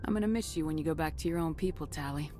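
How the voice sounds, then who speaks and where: Low-voiced, resolute female starship commander played by an actress. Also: darkish tone, soft background music, natural voice. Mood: warm resolve. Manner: firm, measured, to the point. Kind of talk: video game voice acting.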